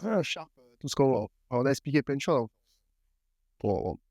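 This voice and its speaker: A man's voice: medium pitch, very animated, moderate pace, darkish tone, dry recording, clean studio sound. A man asking questions and telling a story in a casual improvised interview, hesitant and rambling with fillers.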